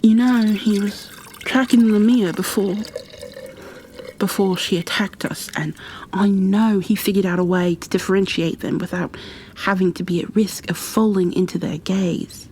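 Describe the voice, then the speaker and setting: A woman's voice: medium pitch, steady tone, normal speed, darkish tone, a little hiss or hum, lightly acted. A woman voicing a driven, pleading huntress on a crusade, insistent and earnest, in an ASMR roleplay.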